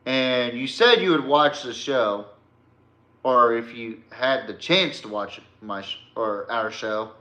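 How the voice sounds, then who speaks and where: A male sports podcast host speaks bluntly and confrontationally, taking his time between phrases. His voice is forceful and bright, and the room around him echoes. The delivery is an informal, slangy rant aimed at a rival. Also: mid-range voice, slow pace.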